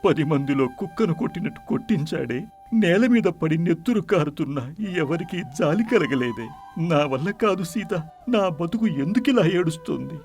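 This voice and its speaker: A man playing a humiliated poor village husband, pleading and self-pitying, in a melodramatic moral story. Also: a little high.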